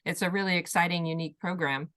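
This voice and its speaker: A woman's voice: lowish voice, fairly even intonation, quick pace, a bit bright. Upbeat woman hosting an online panel. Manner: upbeat and encouraging, repeats words. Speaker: panel host. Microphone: video call.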